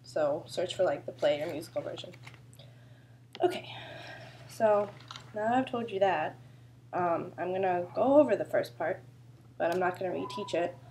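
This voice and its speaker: A woman's voice: fairly low pitch, moderate pace, long pauses, warm tone, a little hiss or hum, poor audio. A woman choreographer with a sore throat, explaining a dance routine in a chatty, loose way full of fillers. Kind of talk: casual dance instruction video.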